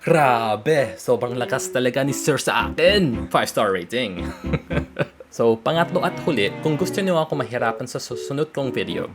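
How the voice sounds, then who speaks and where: British man, young adult, animated vlog chat, guitar music bed. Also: mid-range voice. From United Kingdom. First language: English. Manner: animated, jokey, mixes in Tagalog, quick talker. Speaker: advice vlogger. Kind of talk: playful casual vlog explaining a word. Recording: clear music bed behind voice.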